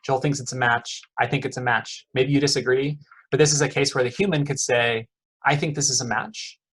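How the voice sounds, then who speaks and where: A man's voice: mid-range voice, a little fast, fairly bright tone, slightly rough. A middle-aged man, an economics professor, giving a casual lecture to students, friendly and chatty with lots of okays and uhs. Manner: friendly, quizzes the audience, many fillers like uh and okay.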